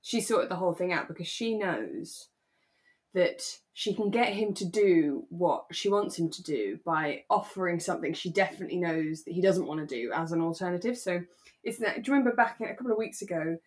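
A woman co-hosting a relaxed, chatty podcast, telling stories in a playful, jokey and animated way. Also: on the low side, a little fast. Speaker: podcast co-host. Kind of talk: relaxed casual chat about a radio drama.

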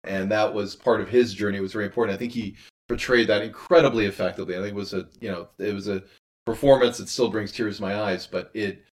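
Guy with a lowish voice giving thoughtful interview answers, kinda halting, talking thru his creative work. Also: steady tone. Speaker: interviewed audio drama creator.

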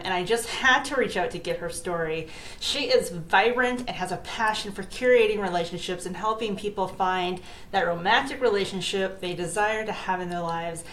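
A woman's voice: medium pitch, a bit bright. A woman hosting an interview podcast, asking questions and giving short, encouraging replies. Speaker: podcast host. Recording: echoey room, faint noise.

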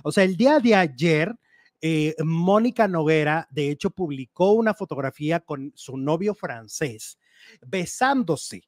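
A man co-hosting a casual gossip show, animated and chatty as he reads out and comments on news items. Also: fairly high pitch, unhurried, no room sound, studio quality.

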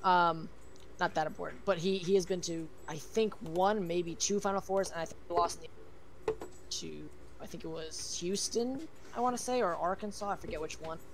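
A man's voice: high-pitched, normal speed, background noise. A man co-hosting a casual sports podcast, talking with many ums and restarts. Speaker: podcast co-host.

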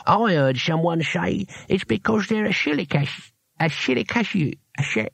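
A grizzled old barbarian speaks in a creaky, raspy mumble. He is blunt and dry, with slurred, toothless diction and homely colloquial phrasing. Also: high voice, expressive, moderate pace, fairly bright tone, no echo, pitched above the performer's own voice, heavily acted. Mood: explaining, fumbling.

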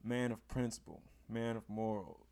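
Hoarse man hosting a hype podcast. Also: lowish voice, steady tone, moderate pace. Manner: hype, emphatic, bursts into loud exclamations. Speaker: podcast host. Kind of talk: casual podcast chat.

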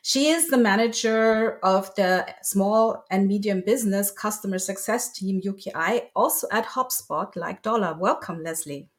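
Brisk woman hosting a video call. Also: mid-range voice. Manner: brisk, directing speakers. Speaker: event host and moderator. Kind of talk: hosting an online launch event.

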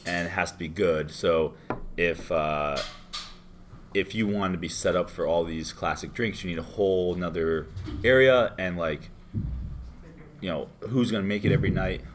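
Deep-voiced hungover American man. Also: moderate pace, noisy background. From United States. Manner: rambling, loose and animated storytelling. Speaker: interview guest, bartender. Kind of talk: casual interview chat.

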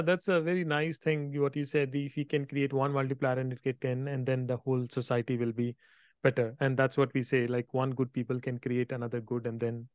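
A man hosting a podcast interview, friendly and a bit halting as he asks questions. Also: mid-range voice, darkish tone, no room sound. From India. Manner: friendly, halting phrasing with restarts. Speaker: podcast host.

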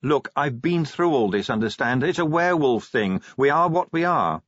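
A man performs a female werewolf officer in an audiobook. The voice is raised in pitch and prickly, quick to snap and always on the defensive. The recording is a little muffled. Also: lively intonation, a bit bright, dry recording. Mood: defensive, irritated. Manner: snappish, defensive.

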